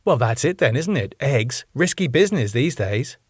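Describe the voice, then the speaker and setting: A man voicing a calm, sensible older woman who speaks in a brisk, matter-of-fact way. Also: medium pitch, breathy edge, no echo, clean studio sound.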